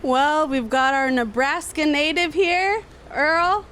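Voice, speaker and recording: Chatty woman with a fairly high voice. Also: slow pace, a bit bright, a little hiss or hum. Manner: chatty, emphatic, laughs easily. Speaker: podcast co-host. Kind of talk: casual chat. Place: indoors, seated smoking.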